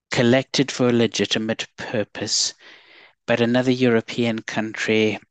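A man from South Africa with a South African accent, a guest expert answering at length in a podcast interview, measured and listing points. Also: medium pitch, a bit bright, clean tone, no room sound. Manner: measured, restarts words, lists points.

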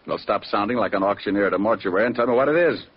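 An actor playing a wisecracking hard-boiled private detective with glib, sardonic delivery in vintage radio acting. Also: male voice, lowish voice, racing, slightly rough. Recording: muffled vintage radio recording.

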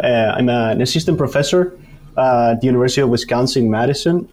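A man from Argentina with a Spanish-speaker's Argentine accent talks as an expert guest. His pitch sits in the middle, and he explains in a halting way, often repeating small words as he builds each point. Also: a little mellow. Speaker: guest, university dairy researcher. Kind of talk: explanatory interview talk.

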